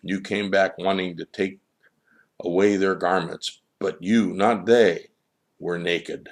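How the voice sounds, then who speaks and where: A man voicing a stern, wise divine child in a storybook, with a measured, lecturing manner. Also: sits low, steady tone. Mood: solemn, lecturing.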